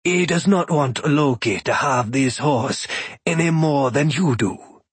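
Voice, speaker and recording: A man's voice: a little high, slightly rough, no room sound, exaggerated voice. A man voicing a polite god of thunder who speaks formally and measuredly. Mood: courteous. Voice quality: formal, measured speech without contractions. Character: polite god of thunder, bare-chested warrior.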